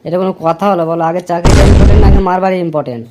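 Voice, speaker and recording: A woman's voice: fairly low pitch, racing, heavy background noise, lightly acted. A woman voicing a young husband in a dubbed cartoon, sounding impatient and pleading over music. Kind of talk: cartoon dubbing. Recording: clear music behind.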